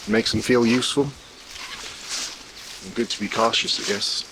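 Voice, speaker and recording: A man's voice: medium pitch, fairly even intonation, a little slow, close to an everyday voice. A man playing a modest, reticent veteran field operative, understated and hesitant, in found-footage horror. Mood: guarded, low-key. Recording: noisy outdoor found-footage tape.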